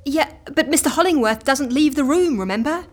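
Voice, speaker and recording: An actress playing an exasperated, sceptical assistant in a comedy audio drama, dry and easily flustered. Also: female voice, high-pitched, expressive, a little fast. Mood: wearily exasperated. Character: exasperated, sceptical woman assistant at eccentric agency.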